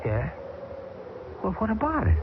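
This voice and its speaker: A man's voice: sits high, wildly swooping pitch, unhurried, heavily acted. An exasperated stranded airman. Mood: impatient. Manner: impatient, stammering and sarcastic. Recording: tinny, hissy old radio broadcast.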